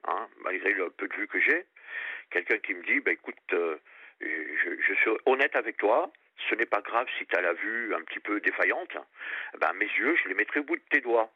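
A man's voice: on the low side, expressive, moderate pace, slightly rough, no echo. An older man from La Réunion calling a radio show by telephone, talking candidly in an earnest, outspoken way over a muffled line. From La Réunion, France. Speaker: radio phone-in caller. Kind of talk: candid personal conversation.

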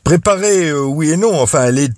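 A man who is a historian and journalist, interviewed as a radio guest and giving measured, hesitant explanations with frequent pauses. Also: fairly high pitch, lively intonation, darkish tone, no room sound, clean studio sound.

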